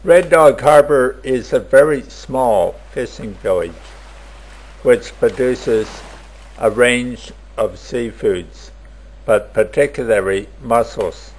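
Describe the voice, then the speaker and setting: Slow, measured man narrating travel footage. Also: mid-range voice, darkish tone, slight background noise, poor audio. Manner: plain, measured, unhurried narration. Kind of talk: slow scripted travel voice-over.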